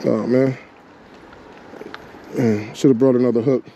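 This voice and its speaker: A man's voice: mid-range voice, fairly even intonation, unhurried, creaky, dark tone, slight background noise, low-quality recording. A man fishing from a rocky shore outdoors, talking to himself and to the fish in casual running commentary, picked up by a body-mounted action camera. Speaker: fishing vlogger.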